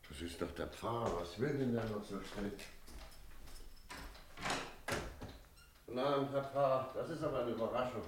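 An actor playing a wary village innkeeper in a German radio drama, telling his story in a measured, brooding way. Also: medium pitch, a little hiss or hum, lightly acted. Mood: wary, surprised. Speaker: narrator and character in a radio play.

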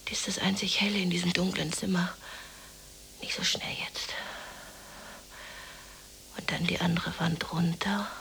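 Radio play lead: lonely, despondent woman, halting half-whispered monologue with a gravelly fry, faint background noise. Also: on the low side, a bit bright, full character voice, low-quality recording. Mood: dazed, brooding. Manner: halting, breathy half-whisper, musing to herself. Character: lonely, depressed unemployed woman, brooding and dreamy.

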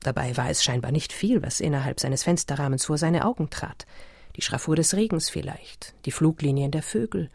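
A woman narrating a radio play in a measured, essayistic, literary manner. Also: low voice, vocal fry, a little mellow, husky, no echo, clean studio sound, heavily acted. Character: measured documentary narrator of explorer history.

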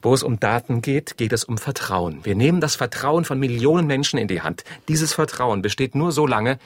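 A man's voice: mid-range voice, lively intonation, moderate pace, no room sound, close to an everyday voice. An actor playing a stiff, proud human-resources manager in a radio drama, formal and accusatory in his delivery. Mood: indignant. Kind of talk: modern radio drama acting.

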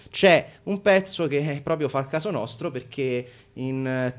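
A man presenting an informal radio show with playful irony, often hesitating and repeating his phrases. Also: medium pitch. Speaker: radio host.

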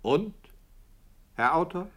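An actor playing an excitable, puzzled radio director in a 1960s radio drama, agitated and full of questions. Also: male voice, high-pitched, takes it slowly, heavily acted. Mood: eager, puzzled. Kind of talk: 1960s German radio play acting.

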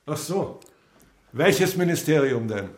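Jeering secret police officer, higher male voice, needling, menacing, roomy sound, radio drama. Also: expressive, slow. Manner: mock-jovial, sneering, needling. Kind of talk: German radio drama acting.

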